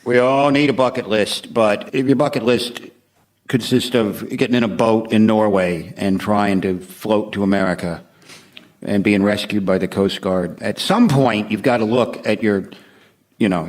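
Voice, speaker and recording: A man telling a rambling comic story as a panel show guest, sounding incredulous. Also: on the low side.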